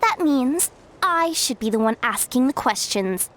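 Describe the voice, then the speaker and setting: A woman's voice: high voice, expressive, a little slow, fairly bright tone. A woman voicing a haughty talking mushroom who sets riddles, speaking in a lofty, condescending way. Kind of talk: video game voice acting.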